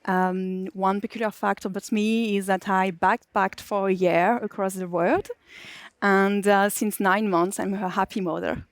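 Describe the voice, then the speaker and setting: A woman's voice: medium pitch, steady tone, a little slow, no echo. Woman on a panel, 30s, plain and to the point, dry mic. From France. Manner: plain, matter-of-fact, some fillers. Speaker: panelist, delivery manager. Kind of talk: conference panel talk. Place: conference stage.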